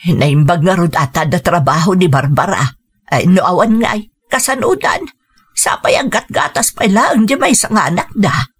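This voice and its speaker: A woman acting a gentle, caring family woman in an Ilocano radio drama, speaking in an earnest, measured way. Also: fairly low pitch, lively intonation, slightly rough. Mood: sympathetic.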